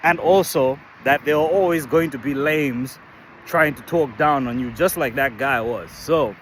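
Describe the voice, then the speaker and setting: A man from Malawi giving an explanatory talk, speaking deliberately in short, measured phrases with pauses. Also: medium pitch, slight background noise. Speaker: musician.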